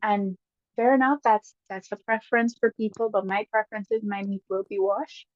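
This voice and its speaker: A woman with a Guyanese accent, a guest casually telling stories with lively bursts and frequent laughs. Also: mid-range voice, no room sound. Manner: lively, laughs often, quick bursts when excited. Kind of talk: casual storytelling chat. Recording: dull lo-fi dry sound.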